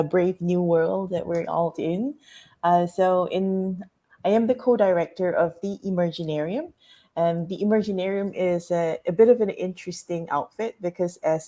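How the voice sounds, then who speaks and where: A woman's voice: fairly low pitch, warm tone. A woman anthropologist speaking as a panel guest over a video call, articulate and reflective with some fillers. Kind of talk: conversational panel talk. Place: home in Singapore.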